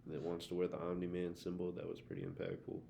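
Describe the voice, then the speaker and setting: Man, very low voice, heavy vocal fry, blunt slangy podcast talk, profane, faint noise behind. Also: level tone, fast, warm tone. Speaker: podcast co-host. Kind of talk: casual chat, TV show discussion.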